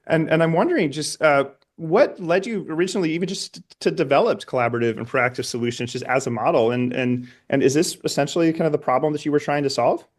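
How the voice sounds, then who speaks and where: A man's voice: fairly high pitch, fast talker, few pauses. A middle-aged man interviewing conversationally, feeling his way toward questions with hesitant, roundabout phrasing. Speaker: interviewer, educator.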